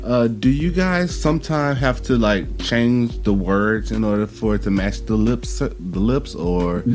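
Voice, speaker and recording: Man, low pitch, podcast host, hesitant questions, faint background music. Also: unhurried, a little mellow. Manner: hesitant, filler-heavy questions. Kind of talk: interview questions.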